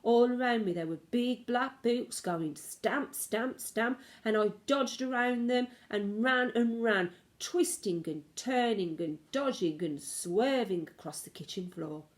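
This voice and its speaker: A woman reading a children's story aloud like a teacher, in expressive narration with dramatic, varied emphasis. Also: fairly high pitch, unhurried, hardly any pauses.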